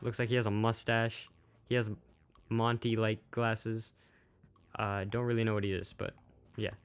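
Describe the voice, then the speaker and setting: A man's voice: fairly low pitch, level tone, moderate pace, smooth. Casual man commentating over music. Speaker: YouTube host, game news commentator. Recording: music playing behind the voice.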